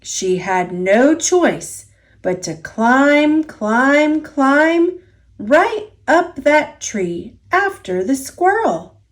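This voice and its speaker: A woman reading a children's picture book aloud as a storyteller, expressive and deliberate, drawing out key words. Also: high-pitched. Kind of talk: children's story read-aloud, scripted narration.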